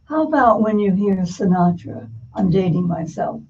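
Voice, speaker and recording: Older woman, mellow voice, slightly distant. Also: mid-range voice, animated, normal speed. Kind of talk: casual conversation. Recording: dull, distant mic, faint noise.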